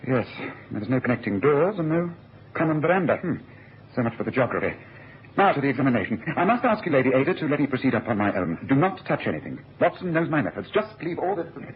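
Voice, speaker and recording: A man acts out a shrewd gentleman detective for a radio play. He talks quickly and precisely, with lively swings of pitch and a commanding manner. The recording is dull with faint noise. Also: close to an everyday voice. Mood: brisk, commanding. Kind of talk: radio drama acting. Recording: muffled, slightly noisy old radio recording.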